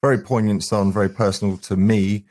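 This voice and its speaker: A man's voice: lowish voice, steady tone, fairly quick, darkish tone. A man chatting earnestly and enthusiastically as an interview guest from a band. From England, UK. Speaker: band singer and guitarist, guest. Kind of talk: casual interview chat.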